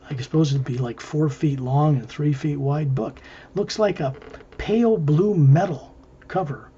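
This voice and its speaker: Male guided-visualization narrator, mellow and breathy, halting phrase-by-phrase delivery, muffled lo-fi sound. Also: a little high. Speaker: guided meditation leader.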